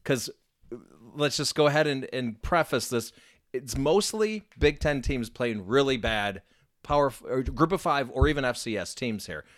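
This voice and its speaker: A man co-hosting a casual sports podcast, jumping in with quick agreements and questions. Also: mid-range voice, animated, dry recording. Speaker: podcast co-host.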